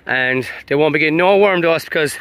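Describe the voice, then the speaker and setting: Irish sheep farmer, fairly high voice, chatty, outdoors with sheep bleating behind. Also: male voice, brisk, a bit bright, a little hiss or hum. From Ireland. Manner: calls sharply to the dogs between chatty asides. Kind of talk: casual narration while herding sheep. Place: open mountain hillside outdoors.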